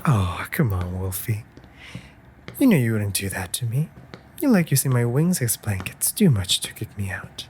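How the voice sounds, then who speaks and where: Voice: medium pitch, sing-song, moderate pace, natural voice. Someone voicing a cheeky raven familiar, swoopy teasing delivery, affectionate, faint noise behind, cozy roleplay audio. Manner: teasing, mock-offended, breaking into soft murmurs. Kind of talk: intimate comfort audio roleplay.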